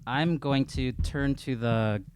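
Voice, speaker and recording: A man's voice: mid-range voice, slow pace, noisy background. A man playing a tabletop game, casually talking through his moves and questions.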